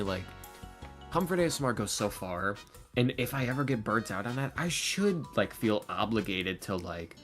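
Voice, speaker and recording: Low-voiced man in casual podcast chat. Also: fairly bright tone. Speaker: ASMR roleplay video creator.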